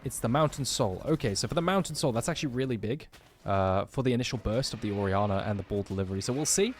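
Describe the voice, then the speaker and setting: Rapid-fire male esports caster. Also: mid-range voice, lively intonation, faint music behind. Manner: fast, breezy analysis. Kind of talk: live play-by-play commentary.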